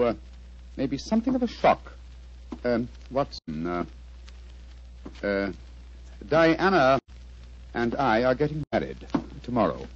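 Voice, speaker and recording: Urbane middle-aged British sleuth, 1940s radio drama. Husky, very expressive, clipped courtesy, lo-fi sound. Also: male voice, medium pitch. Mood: hesitant. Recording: dull lo-fi old radio recording.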